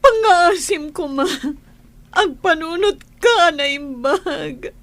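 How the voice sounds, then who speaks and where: An actress playing a wounded, reproachful young wife in an audio drama, pleading and emotional, with an Ilocano accent. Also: female voice, very high voice, animated, slow, a bit bright, full character voice. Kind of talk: Filipino audio drama acting.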